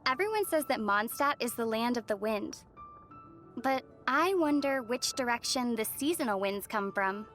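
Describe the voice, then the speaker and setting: A woman playing a gentle, thoughtful young female traveler from another world in scripted anime game dialogue. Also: on the high side, expressive, normal speed, faint music behind.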